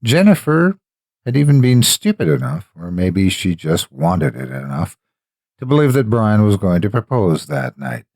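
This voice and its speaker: A man narrating a scripted horror story in a measured, theatrical, emphatic delivery. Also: fairly low pitch, very animated, darkish tone, no echo, studio quality.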